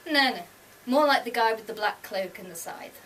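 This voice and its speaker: A woman's voice: on the high side, expressive, normal speed, fairly bright tone, distant mic, pitched above the performer's own voice. Death as an easygoing, plain-spoken boyfriend for a short story, female reader, heard from off-mic in a noisy room. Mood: calm, honest. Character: laid-back man who is Death, patient, matter-of-fact.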